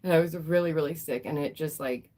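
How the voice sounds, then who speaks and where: A woman's voice: deep voice, quick pace, darkish tone. Stuffy, nasal woman livestreamer. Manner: emphatic, repeats phrases, fires rhetorical questions. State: stuffy, recovering from a cold. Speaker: livestream host. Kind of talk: live news commentary.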